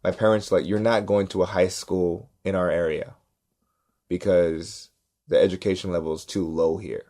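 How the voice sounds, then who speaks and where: A man tells stories in a casual podcast chat. He is animated and often checks in with "right?" He acts out the other people in his stories. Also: low-pitched, moderate pace. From Los Angeles, United States. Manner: animated, acts out quoted voices, repeats "right?". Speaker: podcast cohost, stand-up comedian. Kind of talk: casual storytelling.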